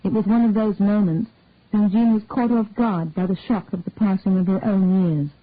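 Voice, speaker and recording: A woman's voice: mid-range voice, fairly even intonation, normal speed, no room sound. Woman reading a story, tinny narrowband sound. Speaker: audiobook reader. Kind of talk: scripted story narration.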